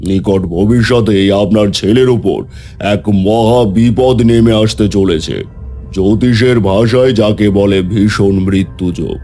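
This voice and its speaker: An ominous tantric holy man from a horror cartoon, played by a man. His voice is dark and on the low side, grave and portentous with boastful authority. Soft music runs underneath. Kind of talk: dramatic horror cartoon voice acting.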